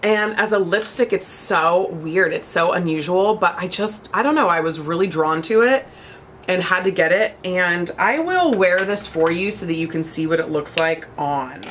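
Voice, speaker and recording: A woman's voice: fairly low pitch, expressive, moderate pace, fairly bright tone, slight background noise. Bubbly, congested young woman vlogger. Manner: bubbly, gushing, chatty with many fillers. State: congested, lingering cold. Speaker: beauty vlogger. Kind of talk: casual chat.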